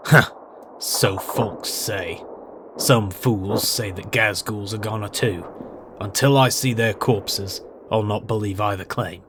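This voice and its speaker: Man acting a slow, grim, weary veteran soldier. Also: fairly low pitch. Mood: grim, reverent. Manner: slow, solemn, sighing delivery. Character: grim, weary veteran soldier named Martin, stubborn and reverent. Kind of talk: dramatic reading. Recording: artillery fire and battle noise behind.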